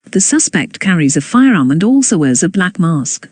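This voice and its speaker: Formal emergency announcer, dry close sound, lively pitch. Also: moderate pace, slightly rough, dry recording. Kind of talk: scripted emergency news announcement.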